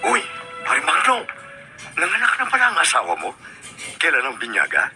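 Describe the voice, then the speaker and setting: A chummy Filipino friend who likes to pry. His voice is very sharp and swings widely in pitch, in radio drama style over faint music. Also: wildly swooping pitch, normal speed, exaggerated voice. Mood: jovial, pressing. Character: chummy, nosy male friend.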